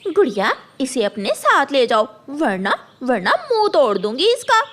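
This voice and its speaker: A woman's voice: sits high, big pitch swings, measured pace, a bit bright, full character voice. A woman voicing a haughty, hot-tempered rich mother crow in a cartoon, with a scolding, imperious manner. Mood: angry, threatening.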